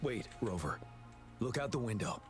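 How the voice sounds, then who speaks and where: An actor playing a stern young male commander in a game, speaking in a calm, measured way. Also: medium pitch, lightly acted. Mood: steady. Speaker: game character. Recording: game audio with loud music.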